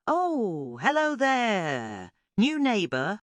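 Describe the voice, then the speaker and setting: A woman's voice: medium pitch, wildly swooping pitch, extremely slow, slight background noise, pitched above the performer's own voice, exaggerated voice. A woman voicing a friendly, chirpy female starfish who has long been stuck, in a children's storybook.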